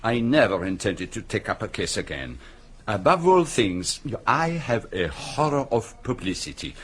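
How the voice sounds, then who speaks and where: An actor playing a polite, precise retired foreign detective in a radio drama, with a French-speaking accent and formal, deliberate manner. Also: male voice, low voice, husky, heavily acted.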